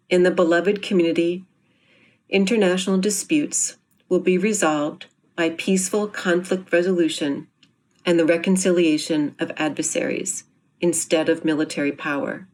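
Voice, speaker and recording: A woman reading a scripted explanatory introduction in formal, measured phrases. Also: fairly low pitch, a little mellow. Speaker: performer presenting an introduction.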